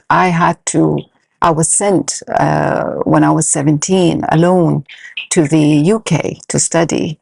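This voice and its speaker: A middle-aged woman from Iraq whose native language is Arabic, telling her own story as an interview guest in a halting, measured way. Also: low-pitched, vocal fry, a little mellow, no room sound. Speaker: podcast guest, senior lecturer.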